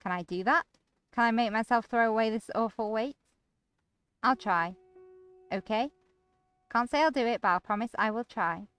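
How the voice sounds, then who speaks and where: A woman's voice: fairly high pitch, normal speed, pauses often, a bit bright, clear, resonant tone, close to an everyday voice. A woman voicing a guilt-ridden, earnest man while reading a visual novel aloud. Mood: resigned. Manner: earnest, self-blaming. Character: guilt-ridden, brooding man with injured leg. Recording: music behind the voice.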